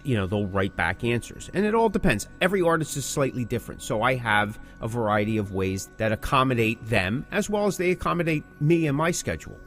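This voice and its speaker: A middle-aged man hosting a podcast in casual conversation, opinionated and emphatic, repeating phrases for stress. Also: mid-range voice. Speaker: podcast host who interviews musicians.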